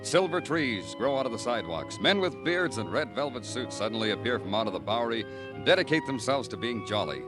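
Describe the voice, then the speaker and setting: An actor playing a world-weary New York homicide detective with a deliberate, hard-boiled, poetic delivery in 1950s radio noir style. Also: male voice, medium pitch, sing-song, natural voice. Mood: wry, brooding. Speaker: lead actor and narrator. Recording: vintage 1950s radio recording, music behind.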